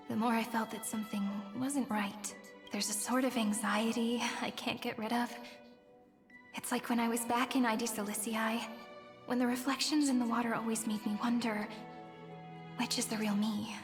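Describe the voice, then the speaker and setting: A gentle, fairy-like young woman, voiced by an actress in a video game story. Her voice is high, soft and breathy, wistful and poetic. It rings with long reverb over clear background music. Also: level tone, moderate pace, natural voice. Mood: wistful, revealing. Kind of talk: scripted video game drama.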